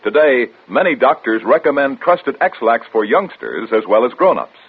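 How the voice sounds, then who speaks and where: A man's voice: mid-range voice, sing-song, normal speed, slightly rough. A man reading a scripted vintage radio advertisement as an announcer, with emphatic sales delivery. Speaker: commercial announcer. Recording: tinny old radio broadcast recording.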